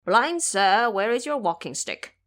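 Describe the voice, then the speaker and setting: A grumbling, blustering pig disciple from an audio drama, put on by a woman. He is a blunt fool who complains freely. His pitch moves about in a lively way. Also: measured pace. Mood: teasing. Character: Ba Jie, foolish, grumbling male pig disciple.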